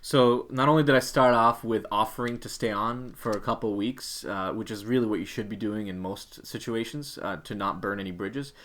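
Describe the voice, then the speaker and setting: A man with a lowish voice gives career-coach advice as a co-host. His delivery is informal and instructional, speaking straight to the audience at home. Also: brisk, hardly any pauses, a bit bright.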